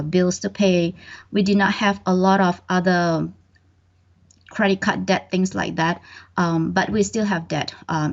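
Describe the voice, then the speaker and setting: A woman's voice: on the low side, creaky. A middle-aged woman from Indonesia, raised in Malaysia, answering interview questions hesitantly with many ums. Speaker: bookkeeper and guest. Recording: dull lo-fi audio.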